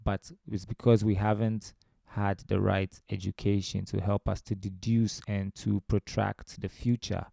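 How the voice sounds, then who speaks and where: Mellow, low-voiced Ghanaian man. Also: level tone, unhurried, creaky, no room sound, clean studio sound. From Ghana, born in Romania. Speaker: podcast guest, musician. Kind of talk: conversational interview storytelling.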